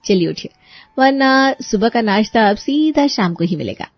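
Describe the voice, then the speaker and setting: A woman voicing a bossy, sharp-tongued young bride in a cartoon story, commanding and sarcastic. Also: fairly high pitch, lively intonation, normal speed, no echo, muffled recording.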